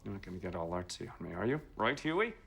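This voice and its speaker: A man's voice: low-pitched, very animated, quick pace, gravelly, fairly bright tone, slightly rough, full character voice. An actor playing a brash, ambitious 1920s radio producer, a slick and domineering salesman. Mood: smug, persuasive. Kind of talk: period TV drama acting.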